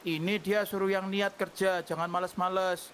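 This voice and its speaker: A man's voice: high-pitched, flat delivery, normal speed, a bit bright, a little hiss or hum. A man playing a bossy, menacing gang boss in online game roleplay, commanding and taunting. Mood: threatening.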